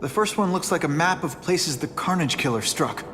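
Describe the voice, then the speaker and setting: An actor playing a young male hero, wisecracking and energetic, who works as a part-time photographer. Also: a little high, normal speed, background noise, natural voice. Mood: quippy. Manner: quippy, energetic.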